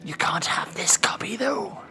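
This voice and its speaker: Stern, weary demon judge of the underworld, played by a man, heavily whispered, slow and scolding, for horror ASMR. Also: high voice, expressive, sharp tone, heavily acted. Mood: exasperated, instructing. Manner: scolding, weary, deliberate, commanding. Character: impatient, stern male demon judge of Hell.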